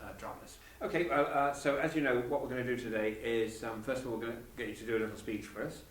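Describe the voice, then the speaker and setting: A man's voice: medium pitch, fairly even intonation, fast. A man speaking as a producer, informally briefing people at an audition in a room. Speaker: executive producer on audition panel. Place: echoey university room. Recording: echoey room, distant mic, faint noise.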